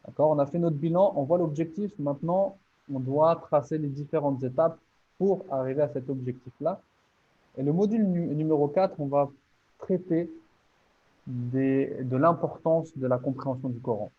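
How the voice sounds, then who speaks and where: A man's voice: a little high, moderate pace, slightly rough, phone-quality sound. A man teaching, presenting his online course step by step in an earnest, motivating way with many small restarts.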